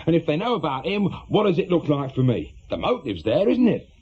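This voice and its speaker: English male ex-con, defensive and pleading, slightly high husky voice, British radio drama. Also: sing-song, a little fast, darkish tone, lo-fi sound, heavily acted. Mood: panicky. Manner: pleading, agitated. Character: anxious, defensive small-time ex-crook protesting innocence.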